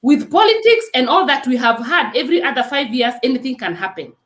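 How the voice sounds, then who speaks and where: A Kenyan woman with a high, lively voice that leaps up and down as she urges her listener on. She speaks with earnest conviction, often stressing her point with "let me tell you." The sound carries some echo from the room. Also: a little fast. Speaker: nurse and guest. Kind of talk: emphatic testimony in an interview.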